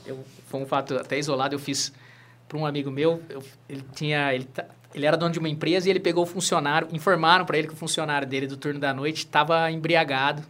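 A man's voice: a little high, fast talker. A Brazilian man in his thirties, a lawyer and jiu-jitsu teacher, chatting as a guest and telling stories with constant tag questions. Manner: animated storyteller, frequent "né?" tags. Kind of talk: casual interview chat.

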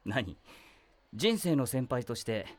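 A lively, melodic male voice plays a meddling teacher, acted by a man in a voice drama. He teases and probes a student, then lectures in a wry tone. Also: mid-range voice, slow. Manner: wry, lecturing, gently probing. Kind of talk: voice drama acting.

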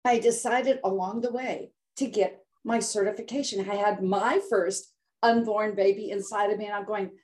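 An older American woman speaking as a podcast guest over a remote call, voice at an everyday middle pitch. She tells her story dramatically and acts out the words of others. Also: fairly even intonation, moderate pace, a little mellow. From United States. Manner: dramatic, acts out quotes with voice shifts. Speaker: guest health coach. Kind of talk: emotional storytelling in interview.

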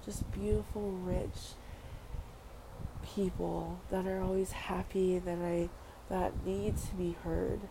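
Earnest woman with a low, gravelly voice. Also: little pitch movement, slow. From Western Samoa. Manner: earnest, repeats phrases, casual fillers. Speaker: vlogger. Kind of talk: heartfelt personal monologue. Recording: noisy background ambience.

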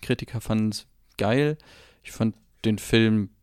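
A man's voice: lowish voice, measured pace, darkish tone, smooth, dry recording, clean studio sound. A man co-hosting a film podcast, discussing movies in a casual way.

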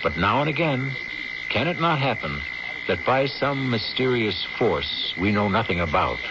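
A man hosting an old-time radio drama with solemn, theatrical, deliberately phrased narration. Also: medium pitch, sing-song, heavy background noise. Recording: dull old radio broadcast under loud music.

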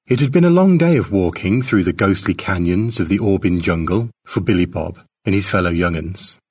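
Deep, animated male story narrator, slightly distant. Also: moderate pace, slightly rough. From South Africa (based there). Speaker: author narrating his own short story.

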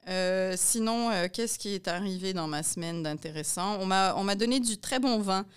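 A woman's voice: mid-range voice, moderate pace, smooth. A woman with a Quebec French accent, telling stories in a chatty, self-mocking podcast monologue. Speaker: podcast host. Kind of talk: casual storytelling monologue.

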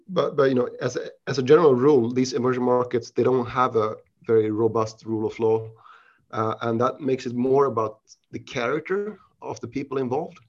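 A man with a Swedish accent and a mid-range voice answers interview questions in a halting, casual way, often restarting his sentences. The audio is dull and lo-fi. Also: medium pitch, fairly even intonation, darkish tone. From Sweden. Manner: halting, many restarts and fillers. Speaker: podcast guest, investment researcher.

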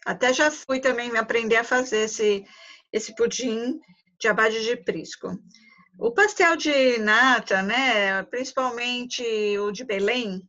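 Higher-voiced Brazilian woman, muffled audio. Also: sharp tone. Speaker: tour guide. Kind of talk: conversational interview answers.

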